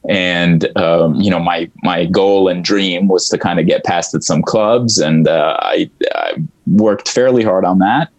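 A man's voice: low voice, measured pace, lo-fi sound. A man from Egypt whose first language is Arabic, a guest writer talking in a hesitant way with many restarts. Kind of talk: casual interview talk.

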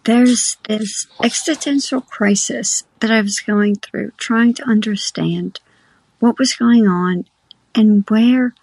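Slow, breathy woman telling a painful story. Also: a little high, no room sound, low-quality recording. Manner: soft, breathy, halting. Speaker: listener telling her story.

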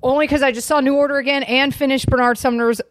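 A woman with a fairly high voice talks as a podcast guest. Her manner is informal, slangy and opinionated, in relaxed casual chat. The recording is dry, with very little room sound. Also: fast talker, no echo.

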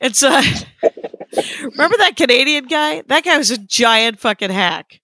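Female comic co-host, hoarse and weary, blunt deadpan delivery, bright edge, dry sound. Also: high voice, moderate pace, no room sound. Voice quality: scratchy voice. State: hoarse, tired. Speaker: comedian podcast co-host. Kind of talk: casual chat.

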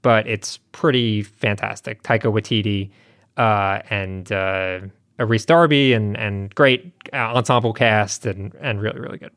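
A man's voice: on the low side, a little slow, fairly bright tone, clean tone, dry recording, clean studio sound. A man co-hosting a podcast in casual chat, with a friendly, easygoing manner. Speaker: podcast co-host.

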